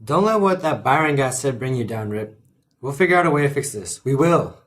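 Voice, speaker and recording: Loyal young friend, male actor, medium pitch, blunt, defiant encouragement for an audio drama. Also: lively intonation, fairly quick, a bit bright, lightly acted. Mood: supportive. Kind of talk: fantasy audio drama acting.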